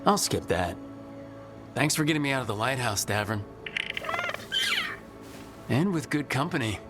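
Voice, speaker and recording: An actor playing a confident young hero in a video game, with a casual, wry and reassuring manner. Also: male voice, medium pitch, very animated, unhurried, over loud music. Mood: wry, relaxed.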